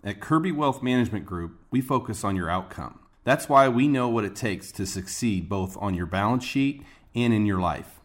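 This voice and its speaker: Low-voiced American male ad announcer. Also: lively intonation, moderate pace. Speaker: commercial announcer. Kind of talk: scripted ad narration.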